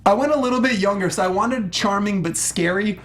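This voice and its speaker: A man's voice: high voice, fairly quick, over a noisy crowd. A man co-hosting a casual movie discussion, informal, slangy and enthusiastic. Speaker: panel show co-host.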